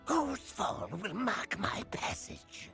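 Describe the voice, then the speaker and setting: A performer voicing a dark, menacing shadowy champion in a video game, with breathy, ominous delivery. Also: mid-range voice, wildly swooping pitch, slow, faint music behind, heavily acted.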